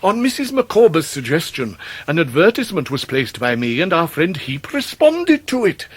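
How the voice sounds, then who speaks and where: A grandiloquent English gentleman of middle age, pompous and florid. His highish voice swoops up and down as he pauses weightily, in a close, dry radio drama recording. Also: huge pitch swings, husky, dry recording, exaggerated voice. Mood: grandly confiding. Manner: florid, orotund, deliberate pauses. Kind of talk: period radio drama acting.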